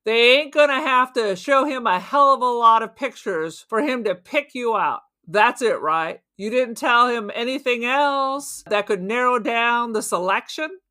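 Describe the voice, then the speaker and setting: A woman acting out a cynical female criminal in a crime audio drama. The voice sits fairly high and has a sharp, forceful edge. The talk is streetwise, argumentative and sarcastic, full of suspicion. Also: unhurried, hardly any pauses. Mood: sarcastic, probing. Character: nervy, suspicious, cynical female robber on the run.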